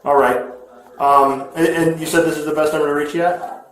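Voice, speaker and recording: A man's voice: on the high side, level tone, a little fast, a little hiss or hum. An American man working as a police officer, questioning and explaining in a matter-of-fact way, often repeating and rephrasing himself. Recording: very echoey body camera audio.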